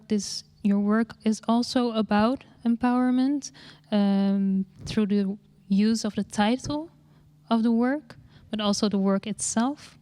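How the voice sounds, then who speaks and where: A woman moderating an art panel, gently posing questions to the guests. Her voice is mellow and smooth, recorded close and dry, at a middle pitch. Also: no room sound.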